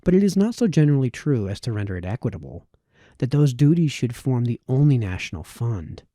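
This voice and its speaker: A man reading a formal historical text aloud in audiobook style, with measured phrasing and the occasional stumble. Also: mid-range voice, big pitch swings, warm tone, no room sound, clean studio sound. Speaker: amateur reader of historical texts.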